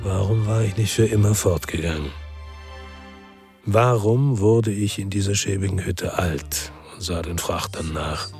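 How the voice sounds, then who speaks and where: A man's voice: low-pitched, measured pace, a little mellow, soft background music. An actor playing a world-weary male ex-policeman who narrates his own crime story in a sober, laconic way for radio drama. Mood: brooding.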